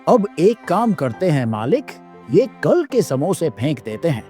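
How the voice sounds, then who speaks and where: A man's voice: on the high side, sing-song, a little slow, warm tone, soft background music. A man voicing a cautious young shop helper, whiny yet deferential, in a children's cartoon. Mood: reproachful. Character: cautious young male shop helper, nagging his master. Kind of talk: Hindi children's moral-story cartoon dub.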